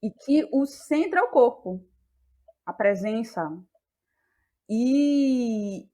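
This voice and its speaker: Reflective Bahian woman, searching and emphatic. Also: on the high side. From Bahia, Brazil. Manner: searching, repeats phrases for emphasis. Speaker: interview guest, playwright and actress. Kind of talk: conversational, reflective explanation.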